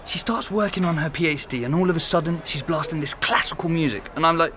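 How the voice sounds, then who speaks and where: An actor playing an exasperated, chatty former flatmate telling stories in an animated, conversational audio drama style. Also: male voice, on the high side, fast talker, slight background noise. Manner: animated storytelling, mimicking and exclaiming.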